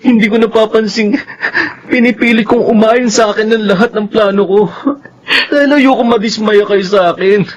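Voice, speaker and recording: A man's voice: high-pitched, fairly even intonation, normal speed, natural voice. A man acting a guilt-ridden grown son desperate for his parents' approval, pleading emotionally in a Filipino radio drama. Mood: remorseful pleading. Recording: dull radio audio, faint background noise.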